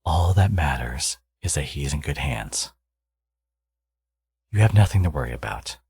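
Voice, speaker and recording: Man playing a low, gravelly, teasing villain dad. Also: very animated, unhurried, darkish tone, dry recording, heavily acted. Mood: teasing, reassuring. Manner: playful, cocky, murmuring to a lover. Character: smug, teasing male supervillain, doting new father. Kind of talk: intimate ASMR audio roleplay.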